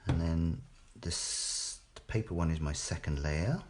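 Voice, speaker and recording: A man's voice: sits low, measured pace, darkish tone, slight background noise. A British man chatting casually and thinking aloud as he hosts a live craft demonstration, often tagging questions onto his remarks. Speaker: craft demo host.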